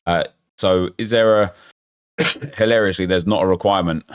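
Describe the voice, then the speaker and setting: A man, chatty and excitable, thinking aloud as he opens packs in a football game, losing his voice and getting unwell. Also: low-pitched, normal speed, clean tone. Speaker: gaming YouTuber. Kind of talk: casual pack-opening commentary.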